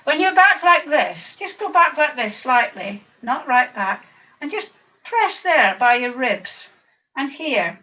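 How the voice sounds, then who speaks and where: A croaky older woman counts slowly through exercise reps, her voice bright and high. She sounds distant from the mic on a dull, lo-fi recording. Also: sing-song. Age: over 50. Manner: counting reps, chatty asides. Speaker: fitness instructor. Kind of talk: leading a seated exercise class.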